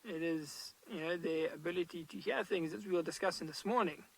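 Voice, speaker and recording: A man, a safari guide giving loud, animated live commentary from an open vehicle outdoors. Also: a little high, fairly quick, vocal fry, breathy edge, rough recording.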